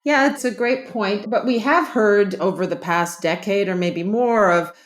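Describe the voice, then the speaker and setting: A woman hosting an interview in a measured, articulate way. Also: medium pitch. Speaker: interview host, journal editor. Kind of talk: interview conversation.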